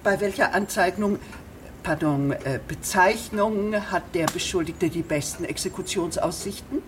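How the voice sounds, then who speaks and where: An actress playing a haughty, officious female magistrate, pompous and quick to take offence in a radio drama. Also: lowish voice, a little hiss or hum, natural voice. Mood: officious, impatient. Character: imperious, indignant female magistrate eager for an execution.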